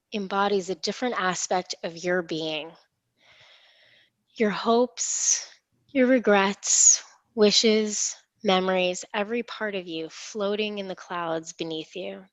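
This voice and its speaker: A woman leading a guided imagery meditation in a soothing, unhurried, reassuring manner. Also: mid-range voice, dry recording. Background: gentle instrumental music.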